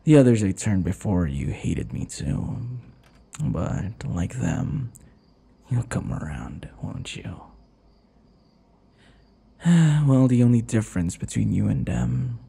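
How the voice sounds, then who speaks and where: Breathy, gravelly vampire lover acted by a man. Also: lowish voice, big pitch swings, warm tone, heavily acted. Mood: obsessive, tender. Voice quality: breathy, often dropping into whispers. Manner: taunting, slow, drawn-out endearments. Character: possessive, obsessive male vampire captor and would-be lover. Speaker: audio roleplay voice actor. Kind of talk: intimate ASMR roleplay acting.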